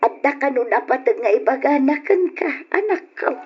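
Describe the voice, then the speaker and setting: A woman's voice: high-pitched, expressive, normal speed, soft background music. A woman with a Filipino Ilocano accent playing a worried, middle-aged mother who probes and admonishes her grown daughter in an old-time radio drama. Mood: reproachful, concerned. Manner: probing, admonishing. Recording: dull old radio recording.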